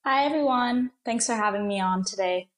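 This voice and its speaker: A woman's voice: a little high, moderate pace. Young female student guest, friendly and plainspoken. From Colombia. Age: young adult. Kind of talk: podcast interview.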